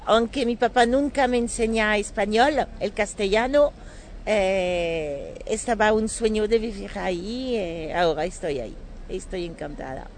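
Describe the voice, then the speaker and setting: A woman with a French accent gives casual interview answers at a slow pace. As a native French speaker, she halts and searches for words. Clear ambient noise runs behind her voice. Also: mid-range voice. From France. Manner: halting, searching for words in non-native Spanish. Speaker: interview guest, entrepreneur and bit-part actress.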